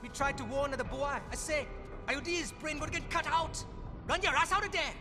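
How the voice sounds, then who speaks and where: A very high, youthful boy, streetwise and scared, speaking in a video game cutscene. Loud music plays under the reverberant voice. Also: normal speed. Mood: defensive, earnest.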